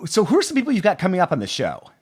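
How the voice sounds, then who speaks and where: A man's voice: high-pitched, huge pitch swings, rapid-fire, darkish tone, slightly rough. A man with a Chicago accent with some Minnesota mixed in, chatting casually on a podcast, laid-back, with a few stammers and easy laughs. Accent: Chicago with a Minnesota-Dakota undertone. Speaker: podcast host interviewing a guest.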